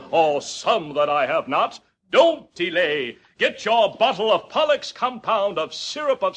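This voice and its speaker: An actor playing a bombastic Old West patent medicine pitchman, declaiming grandly in a radio drama. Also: a bit bright, full character voice. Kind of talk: old-time radio acting, sales spiel.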